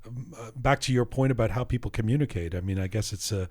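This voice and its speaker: A man's voice: fairly low pitch, quick pace, darkish tone. A man hosting a roundtable discussion, hesitantly framing questions and handing them to guests. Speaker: host. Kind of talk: moderating a roundtable.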